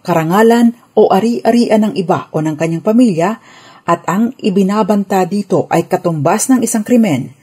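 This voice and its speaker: A woman with a Filipino accent giving a scripted legal explainer on radio as a lawyer, formal, measured and instructive. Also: fairly low pitch, warm tone, husky.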